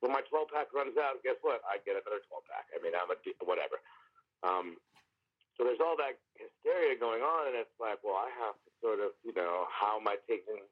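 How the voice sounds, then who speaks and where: Male podcast guest, low pitch, loose and frank delivery, dull lo-fi line. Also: animated, brisk. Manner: loose, candid, offhand. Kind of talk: casual conversation.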